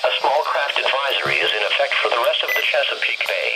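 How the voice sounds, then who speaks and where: A man's voice: medium pitch, big pitch swings, fast. A synthetic man's voice reading a scripted weather forecast as a radio announcer, formal and impersonal, in clipped phrases. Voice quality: synthetic text-to-speech voice. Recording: very noisy weather radio broadcast. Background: loud radio static.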